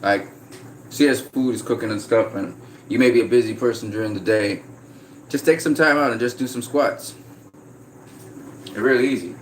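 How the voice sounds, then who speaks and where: A man from Trinidad chatting casually on a livestream, reading out viewer comments in a relaxed way. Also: mid-range voice, normal speed, pauses often, slight background noise, rough recording. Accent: slight American-sounding Trinidadian. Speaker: vlogger.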